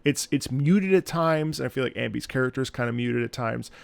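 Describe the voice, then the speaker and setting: A man's voice: mid-range voice, brisk, vocal fry, no echo. A man giving casual, effusive reaction commentary, full of enthusiasm. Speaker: reaction video host; opera singer and voice actor.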